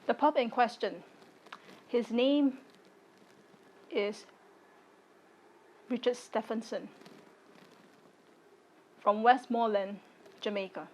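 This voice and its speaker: A woman in her late twenties from Singapore, giving a personal video statement in deliberate, firm, short phrases. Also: a little high, low-quality recording. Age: late 20s. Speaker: content creator.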